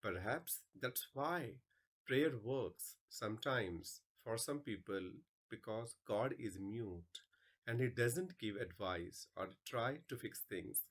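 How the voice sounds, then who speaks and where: A male English teacher presenting a lesson and reciting poems aloud in a deliberate, expressive way. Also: lowish voice. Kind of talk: instructional narration and poem recitation.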